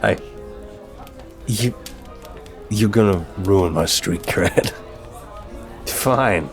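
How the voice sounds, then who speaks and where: A man's voice: on the low side, extremely slow, soft background music. A man playing an apologetic, flirtatious mafia boss who talks to a date in a teasing, earnest, affectionate way, in an ASMR roleplay.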